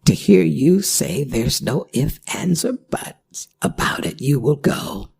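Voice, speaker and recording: A performer voicing a worried, gentle older werewolf mother in an ASMR audio drama, speaking in halting, pleading phrases. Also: mid-range voice, very animated, creaky, slightly rough, full character voice. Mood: tender, moved.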